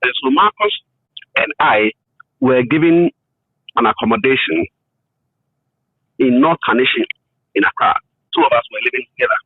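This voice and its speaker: A middle-aged man with a Ghanaian accent telling his story in an interview, in deliberate phrases with emphatic repetition. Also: medium pitch, breathy edge, no echo. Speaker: interview guest. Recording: thin phone-like line, muffled detail.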